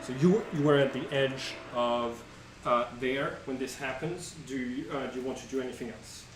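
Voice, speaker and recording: A man working as game master, improvising tabletop narration in a halting way as he searches for words. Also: medium pitch, noisy background. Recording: roomy, distant mic, music behind. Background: music.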